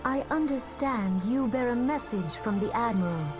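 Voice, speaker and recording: A woman's voice: on the high side, animated, music in the background, natural voice. An actress playing a serene, gracious female seer and leader in a fantasy game, speaking with formal, courtly diction. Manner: formal, measured, courtly archaic speech. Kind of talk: fantasy video game voice acting.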